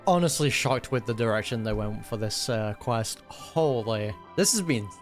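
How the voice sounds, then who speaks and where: A man's voice: medium pitch, animated, normal speed, faint music behind. A young man giving casual, emphatic, slangy commentary as he reacts to a video game. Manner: slangy, emphatic, uses dude and bro.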